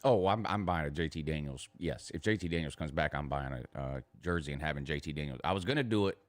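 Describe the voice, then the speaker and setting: Deep-voiced Southern man talking sports. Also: fast talker, no room sound. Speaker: morning show host. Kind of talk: casual sports banter.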